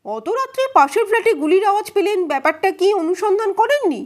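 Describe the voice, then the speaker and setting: A woman's voice: extremely high pitch, big pitch swings, fast talker, voice pushed up. A woman voicing a probing male defence advocate in an audio story, questioning witnesses in a formal, insistent, pointed way. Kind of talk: dramatized courtroom questioning.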